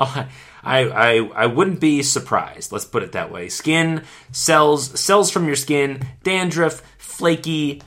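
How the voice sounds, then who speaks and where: A man's voice: mid-range voice, fairly bright tone. A man playing a self-important fake doctor in an improv comedy bit, lecturing confidently with stammering restarts.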